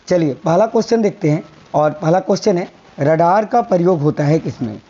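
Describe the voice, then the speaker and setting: A hoarse man teaching a quiz-style science lesson for exam prep, repeating each answer and telling viewers to remember it. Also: a little high, lively intonation, brisk, dark tone, dull audio. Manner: repeats answers, coaxing "याद रखिएगा".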